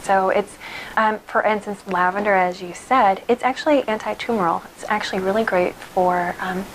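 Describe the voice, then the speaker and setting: A woman guest answers questions in a steady, informative way. Her voice sits at a middle pitch. A faint layer of background noise sits under her voice. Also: normal speed, rough recording. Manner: informative, explanatory. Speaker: guest, intuitive healer. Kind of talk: interview answers.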